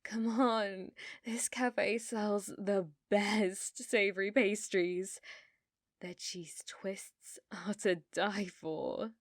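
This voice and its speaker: A young British girlfriend, acted by a woman in an intimate ASMR roleplay. She speaks softly and unhurriedly at a medium pitch, coy and sweetly manipulative as she teases. The sound is close and very dry. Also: fairly even intonation, extremely slow, no room sound. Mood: breezy, reassuring. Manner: coy, soft-spoken, teasing with feigned innocence.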